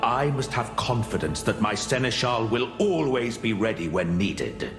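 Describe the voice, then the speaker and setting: A man's voice: fairly low pitch, sing-song, measured pace. A man playing a cold, haughty king in a dramatic game story, pompous and imperious, with echo and music. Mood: imperious, disapproving. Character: haughty, cold king addressing his seneschal. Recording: echoey, loud music underneath.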